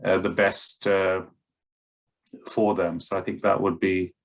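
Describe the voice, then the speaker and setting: Middle-aged male doctor, low-pitched, halting delivery with many ums and restarts. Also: flat delivery. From Kenya and West London. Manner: hesitant, many fillers and restarts. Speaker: paediatric doctor. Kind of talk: interview answers to camera.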